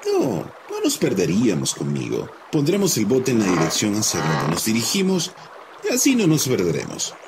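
A man's voice: lowish voice, huge pitch swings, normal speed, background noise. A man voicing a sly, talkative cartoon fox trickster who wheedles cheerfully. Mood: wheedling. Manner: chatty, wheedling.

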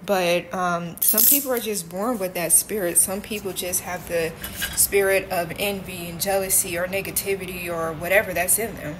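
A woman's voice: fairly low pitch, steady tone, moderate pace, background noise. A young woman with a speech impediment telling a story to her phone camera at home, blunt and candid, cursing casually. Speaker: vlogger. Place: kitchen and living room at home, indoors. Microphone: phone camera held close.

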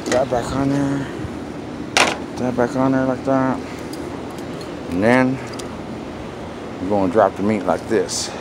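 A man's voice: medium pitch, steady tone, slow, pauses often. A man cooking on a backyard grill, talking casually into a handheld phone camera with laid-back slang and hyped asides. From Texas. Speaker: home cook. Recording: loud sizzle and yard noise. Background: loud sizzling and outdoor noise.

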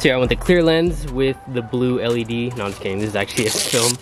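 A man's voice: mid-range voice, fairly even intonation, quick pace, loud music behind the voice. A man in his twenties vlogging casually about car parts, upbeat and a little nasal from a cold. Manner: upbeat, says "guys" a lot. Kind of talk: casual vlog chat. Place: driveway and garage, outdoors.

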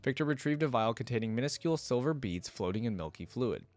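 A man in his thirties hosting a reaction video in a casual, conversational way. His medium-pitched voice is recorded dry and close on a desk mic. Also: lively intonation, quick pace, a little mellow, no echo. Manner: conversational, checks in with "you know". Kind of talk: casual reaction commentary and story reading. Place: small indoor streaming room. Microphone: desk microphone up close.

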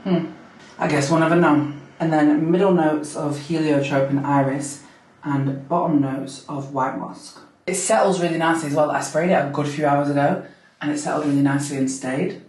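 Deep voiced girl chatting away to her camera at home, gushy and giggly, roomy echo. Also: steady tone, normal speed, darkish tone. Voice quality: deep for a woman. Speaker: vlogger. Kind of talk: casual chat to camera. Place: home bathroom at night, then a bright kitchen. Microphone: camera on a low stand. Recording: echoey room, camera a bit far.

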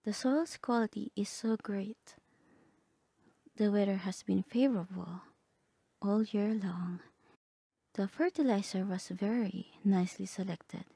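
A woman playing a gentle, lovestruck bard companion in a romantic ASMR roleplay, speaking softly and tenderly, often half whispering. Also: mid-range voice, unhurried, vocal fry, pauses often, husky, no echo. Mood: pleased, tender. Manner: tender, hesitant, polite.

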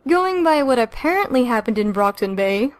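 A woman's voice: on the high side, very animated, normal speed, no echo. A woman voicing a flippant, theatrical young male superhero with an exaggerated, drawling delivery. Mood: wry.

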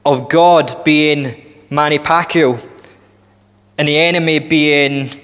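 A man in his thirties preaching a sermon in a church hall on a headset mic, earnest and deliberate, often restarting and building emphasis. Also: a little high, steady tone, fairly bright tone, clear, resonant tone. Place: church hall with long reverb.

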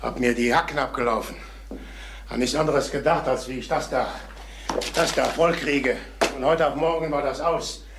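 A middle-aged actor playing a troubled travelling salesman in a crime radio play, halting, repeating himself and on the defensive. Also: mid-range voice, fairly even intonation, lightly acted. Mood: bitter. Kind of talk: German crime radio drama acting. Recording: noisy vintage radio drama recording.

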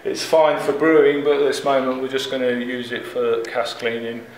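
A middle-aged head brewer giving a walking tour in plain, unhurried speech with fillers, filmed on a camera held close by. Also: male voice, medium pitch, flat delivery. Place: large echoey brewery building, indoors. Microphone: handheld camera close by, following him. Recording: echoey rooms with air-conditioning hum. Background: air-conditioning hum.

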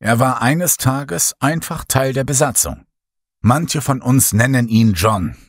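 A man with a gravelly, husky voice reads a horror story in the first person, in a measured storytelling manner. The recording is dry and close, with no room around him. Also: mid-range voice, no room sound. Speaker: audiobook narrator.

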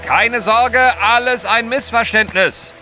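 An actor playing a bold, unflappable gentleman adventurer in an audio drama, brisk and dryly witty. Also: very high voice, faint music behind, exaggerated voice. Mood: excited, bold. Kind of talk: German radio play acting.

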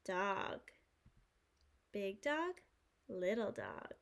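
A woman in her thirties reading aloud to young children as a preschool teacher, phrasing simply and clearly. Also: medium pitch, huge pitch swings, extremely slow. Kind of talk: children's storytime read-aloud.

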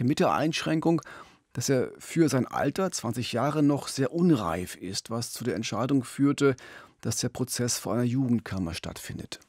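A man's voice: mid-range voice, darkish tone, no echo. A middle-aged man co-hosting a true-crime podcast in a conversational storytelling style, close on a studio mic. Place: small radio studio. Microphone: close studio microphone with pop filter, headphones.

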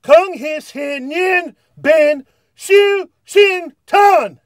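Old Santa played by a man for comedy sketch: hearty, earnest, unhurried, pitched high. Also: heavily acted. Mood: strained effort. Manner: jolly, hearty, deliberate delivery. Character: old, earnest Santa Claus recording holiday greetings. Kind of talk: comedy sketch acting, reading greetings. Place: small dead recording studio booth. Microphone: studio condenser microphone, close.

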